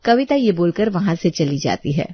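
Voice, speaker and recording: A woman's voice: lowish voice, very animated, normal speed, husky, dry recording, highs cut off. A woman storyteller reading scripted narration for an audio drama.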